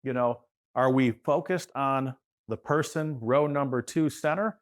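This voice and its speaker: A man speaking as a guest expert, giving casual practical advice in a loose, halting way that circles back. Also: mid-range voice.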